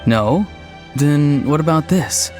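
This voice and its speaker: A man's voice: on the high side, lively intonation, slow. A man voicing an earnest, slightly odd young tribesman in a video game, mild, thoughtful and matter-of-fact. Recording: music behind the voice.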